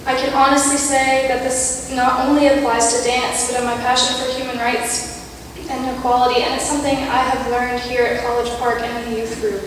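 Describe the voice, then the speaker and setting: A woman's voice: sits high, little pitch movement, slight background noise. Young woman reading in an echoey church. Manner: earnest, measured, with light self-deprecating asides. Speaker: youth speaker. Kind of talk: prepared reflection read in church. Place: large church sanctuary, at a pulpit. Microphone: pulpit mic, camera far off. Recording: echoey church hall from far off.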